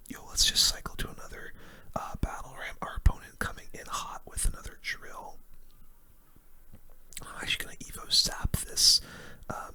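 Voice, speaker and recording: Young man whispering ASMR game commentary. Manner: relaxed, informal. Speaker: gaming video creator. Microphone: close-up microphone.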